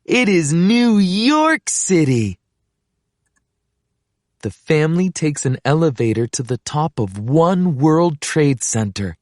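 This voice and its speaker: A woman reading a children's picture book aloud in an expressive, deliberate way. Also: deep voice. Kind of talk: scripted children's story read-aloud.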